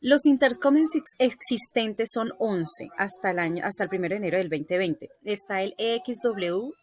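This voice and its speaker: A woman's voice: mid-range voice, expressive, normal speed. A woman presenting a scripted explanatory lesson, reading aloud in a didactic way with occasional stumbles.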